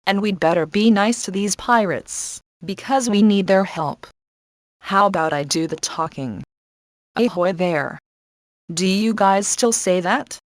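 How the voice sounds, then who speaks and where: A woman's voice: medium pitch, measured pace, no room sound, clean studio sound, lightly acted. A woman playing a brave, sassy adventurer in a video game, briefing heroes with upbeat confidence and wry asides. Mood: confident, wry. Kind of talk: video game character voice lines.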